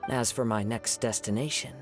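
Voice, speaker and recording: A man voicing a calm, courteous young wandering samurai in an anime video game, measured and gentle. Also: mid-range voice, over music, natural voice. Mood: calm, resolute.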